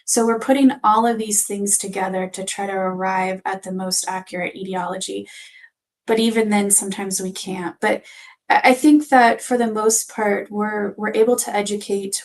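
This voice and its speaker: A woman with a medium-pitched voice talks as a clinician guest. She explains conversationally and carefully, qualifying her statements as she goes.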